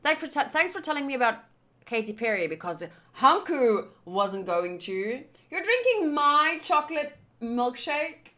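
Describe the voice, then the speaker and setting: A woman livestreamer chatting casually to her viewers in a playful, teasing and animated way. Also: high-pitched, a little slow. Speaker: streamer hosting a game playthrough.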